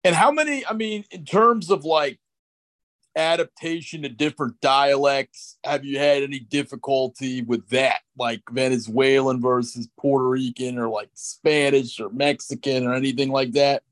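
A man's voice: mid-range voice, steady tone, normal speed, fairly bright tone. A man hosting a podcast, asking guests questions in a hesitant way and rephrasing as he goes. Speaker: podcast host. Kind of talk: casual podcast interview.

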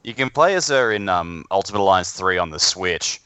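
A man chatting casually on a podcast in a halting, repetitive, sweary way, on a slightly dull lo-fi microphone. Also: sits low, expressive, bright, forceful tone, clean tone, no room sound. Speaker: podcast panelist. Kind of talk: casual pop-culture chat.

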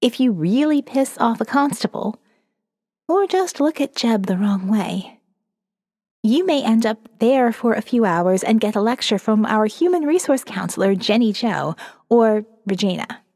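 A woman's voice: a little high, lively intonation, unhurried, a little mellow, no echo, clean studio sound, in a large hall. A woman reading as a sardonic young college student narrating her survivor's diary in a wry, profane, conversational way for an audio novel. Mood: wry, confiding. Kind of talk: first-person diary narration, dramatic reading.

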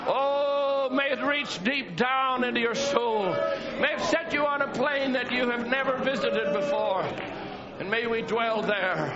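A man preaching a fiery sermon to his church congregation, often shouting in a high, strained voice. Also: measured pace. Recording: dull lo-fi church recording with congregation.

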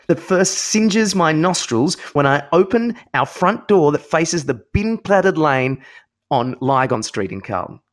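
A young Australian man co-hosting a comedy podcast with blunt, teasing banter and comic rants. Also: mid-range voice. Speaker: podcast co-host. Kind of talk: casual comic banter and ranting.

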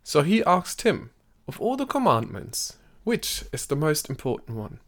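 A man giving scripted documentary narration for a podcast. He tells it in a measured way and lifts his voice for quoted speech. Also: on the high side, big pitch swings, fairly bright tone.

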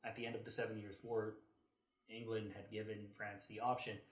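A man with a fairly low voice in an echoey room. He talks casually about history as a podcast co-host, halting as he searches for details. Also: flat delivery. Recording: roomy, echoing sound.

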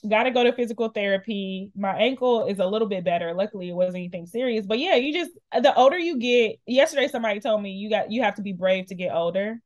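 A woman in her thirties chats casually on a podcast, telling stories in a playful, animated way. Her voice sits in an ordinary middle range. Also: mid-range voice, fast, hardly any pauses, highs cut off. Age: 30s. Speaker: podcast co-host. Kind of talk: casual chat.

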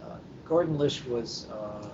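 Older man on a dull, noisy recording. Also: a little slow. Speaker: book editor, podcast guest. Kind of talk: panel discussion on a live podcast. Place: hotel at a convention. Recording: dull, noisy internet-call recording with rumble.

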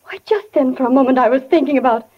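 Casting: wistful young female ghost, husky-breathy voice, earnest 1940s radio melodrama, muffled old broadcast sound. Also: high-pitched, quick pace. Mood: yearning, insistent. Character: wistful young dead wife and mother, yearning for life. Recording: dull vintage 1940 radio recording.

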